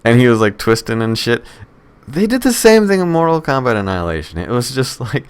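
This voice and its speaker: A man's voice: medium pitch, very animated, brisk, clean tone. A man co-hosting a podcast, chatting casually about films in profane, slangy language. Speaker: podcast co-host.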